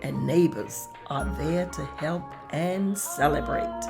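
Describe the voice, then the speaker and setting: A woman narrates a script's stage directions. Her voice sits a little low and moves very slowly. Soft music runs faintly underneath. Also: vocal fry.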